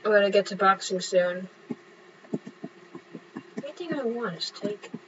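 A woman's voice: mid-range voice, normal speed, a bit bright. A young woman casually narrating her own game play, chatting to herself and to other players. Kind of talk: casual gameplay commentary. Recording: dull lo-fi mic with clear background hum.

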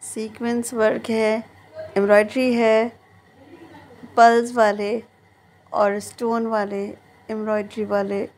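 A woman's voice: on the high side, flat delivery, slow, pauses often, darkish tone. A woman narrating a shopping vlog, presenting stalls and prices. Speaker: shopping vlogger. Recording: clearly noisy background.

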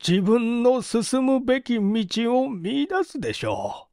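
Man playing a slow, anguished old man. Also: extremely high pitch, big pitch swings, warm tone, dry recording, studio quality, pitched above the performer's own voice, heavily acted. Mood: resigned, resolute. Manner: halting, solemn, old-fashioned formal speech. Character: anguished, penitent old man over sixty, doting father.

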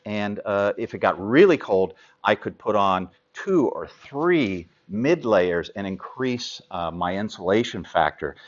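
Male American presenter, fifties, lower register, informal halting explainer style, slightly dull studio audio. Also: a bit bright. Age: 50s. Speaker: sailing gear expert presenter. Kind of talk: informal product explainer to camera.

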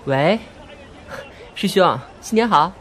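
A man's voice: high voice, lively intonation, takes it slowly, a bit bright, a faint crowd, slight background noise, heavily acted. A man acting a guileless, blunt young guy. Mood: friendly, polite. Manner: hesitant, guileless. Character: naive, blunt, good-natured young man with a crush. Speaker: amateur voice actor. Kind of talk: audio drama acting.

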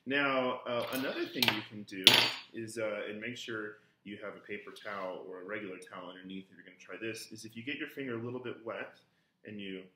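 A man in his thirties, a music teacher giving a relaxed, chatty lesson at home, full of ums and uhs, recorded by a camera on a kitchen table. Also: lowish voice, fairly quick. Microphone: camera on the table in front of him. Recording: echoey kitchen with faint noise. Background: glasses clinking.

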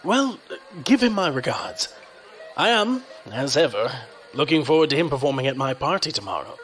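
Casting: male actor for condescending, menacing businessman crime lord, audio drama, lively intonation, music underneath. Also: a little high, normal speed. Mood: oily, ingratiating. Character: menacing, smooth-talking crime boss and businessman.

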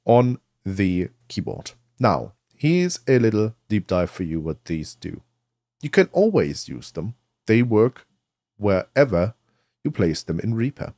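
A man presenting a software tutorial, explaining things step by step into a close microphone. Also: on the low side, sing-song, measured pace, dry recording.

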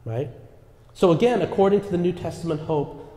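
A man's voice: fairly high pitch, fairly quick, mellow. A male pastor giving a sermon earnestly, often checking in with the congregation through rhetorical asides. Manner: earnest preaching with repeated rhetorical "right?" asides. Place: church sanctuary. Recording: echoey church with long reverb.

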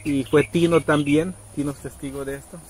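A middle-aged man casually telling a story outdoors, acting out quoted people with sudden high pitched voices. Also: fairly even intonation, a little fast, poor audio. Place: outdoors under trees in a rural yard. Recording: outdoor noise in background.

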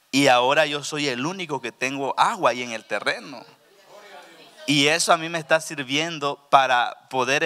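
A middle-aged man, a pastor giving a testimony sermon on a church stage into a handheld mic, swinging between quiet asides and loud exclamations. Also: medium pitch. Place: church stage with purple lighting. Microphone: handheld microphone.

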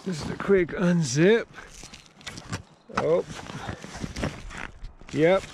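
A man in his thirties casually reviewing gear outdoors beside his van, relaxed and full of fillers. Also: sits high, very slow, creaky, noisy background. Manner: relaxed, filler-heavy, easygoing. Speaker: vlogger.

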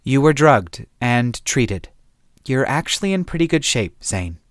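A man's voice: medium pitch, sing-song, unhurried, dry recording, clean studio sound. A man voicing a cold, hard-edged woman spacer. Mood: calm. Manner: cold, clipped. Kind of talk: audiobook character voice.

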